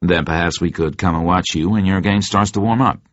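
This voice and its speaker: Man putting on a genial low-voiced agent. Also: fairly quick, no echo, lo-fi sound, lightly acted. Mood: genial, inviting. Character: friendly American male agent, easygoing and sociable.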